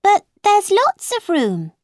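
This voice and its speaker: A woman's voice: extremely high pitch, huge pitch swings, slow, dry recording, studio quality, voice pushed up, full character voice. A woman voicing a polite but outspoken little girl in a children's storybook, questioning and indignant. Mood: puzzled.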